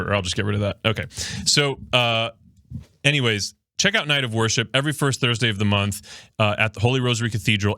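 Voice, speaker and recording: A man's voice: on the low side, fairly bright tone, no echo, studio quality. A man from Miami who grew up speaking Spanish, hosting a podcast in a loose, joking way and reading viewer comments aloud while short on sleep. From Miami, Florida. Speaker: podcast host. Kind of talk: casual livestream chat. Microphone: studio microphone.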